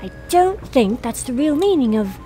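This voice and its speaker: A woman's voice: high-pitched, big pitch swings, normal speed, dark tone, voice pushed up. A woman voicing a worried, hesitant young female cartoon pony with an uneasy way of talking. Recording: faint music behind the voice.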